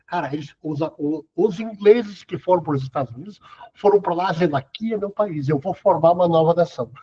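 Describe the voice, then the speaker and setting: A middle-aged man lectures in a loose, chatty way, peppering his points with casual tags. His voice is husky and sits a bit high. The video call audio sounds dull and lo-fi. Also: fast, mellow. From southern Brazil. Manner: conversational, sprinkles "cara" and "né". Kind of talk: casual lecture over web conference.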